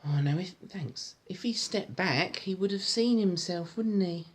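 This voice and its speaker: A man reading a storybook aloud to a child in an informal, encouraging, gentle way, with chatty asides. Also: high-pitched, lively intonation, measured pace, breathy edge. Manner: encouraging, gentle, echoes the child's words back. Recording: home recording with faint background noise.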